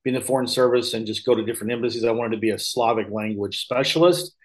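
A man's voice: mid-range voice, quick pace. American male, fifties, mid-range voice, casual rambling storyteller in interview. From Missouri, United States. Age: 50s. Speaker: podcast guest, real estate investor. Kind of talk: casual anecdotal interview talk.

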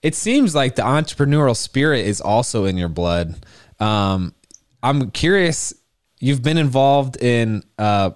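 A young man hosting an interview podcast, introducing his guest and asking friendly, measured questions. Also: medium pitch, expressive, dry recording, studio quality. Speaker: podcast host.

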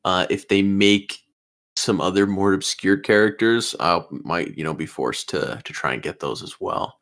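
A man in his twenties with a very low voice and a gravelly texture. He talks on a podcast as co-host, eager, restarting his sentences as he goes. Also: measured pace, fairly bright tone. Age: 20s. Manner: enthusiastic, repeats words at starts of sentences. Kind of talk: casual chat.

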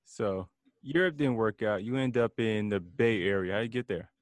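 A man's voice: fairly low pitch, moderate pace, dry recording. Laid-back male podcast interviewer, dry sound. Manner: laid-back, asks short casual questions.

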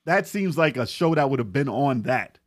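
A man's voice: medium pitch, animated, normal speed. A man chatting casually as a podcast panelist, opinionated and bantering with the group. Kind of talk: casual group chat about movies.

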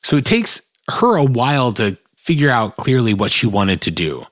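A man's voice: mid-range voice, big pitch swings, normal speed, dry recording, studio quality. A man hosting a podcast, reading an earnest, reflective introduction to the show and its guest. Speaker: podcast host.

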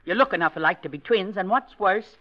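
An actress playing a domineering, sharp-tongued older aunt in a 1940s radio drama. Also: female voice, mid-range voice, lively intonation, a little fast, natural voice. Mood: indignant. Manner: indignant, scolding. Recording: dull old radio recording.

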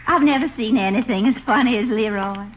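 An actress playing a coquettish, wheedling Southern belle in a 1950s radio comedy, coy and pleading with an American Southern accent. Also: female voice, a little high, a little fast, lightly acted. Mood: delighted. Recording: muffled, hissy old radio recording.

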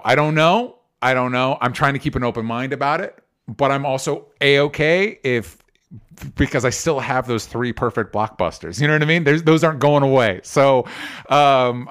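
A man's voice: mid-range voice, a bit bright, clear, resonant tone. A man co-hosting a movie show, talking casually and informally, swearing freely and often repeating his phrases. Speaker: co-host of a movie news show.

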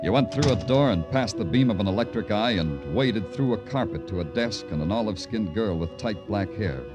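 An American actor playing a world-weary, tender-hearted city detective, narrating in a poetic, hard-boiled old radio crime drama style. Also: male voice, on the low side, lively intonation, brisk, close to an everyday voice. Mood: wry. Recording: old radio recording with music.

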